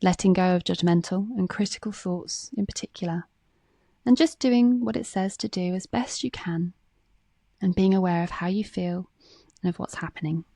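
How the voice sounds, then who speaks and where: Female meditation guide, mellow and relaxed with gravelly fry, calm scripted read, close dry sound. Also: lowish voice, moderate pace, no room sound.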